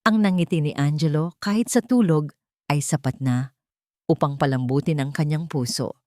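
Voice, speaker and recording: Low-voiced woman narrating a drama. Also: normal speed, mellow, no room sound, studio quality. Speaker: storyteller. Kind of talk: scripted dramatic narration of a love story.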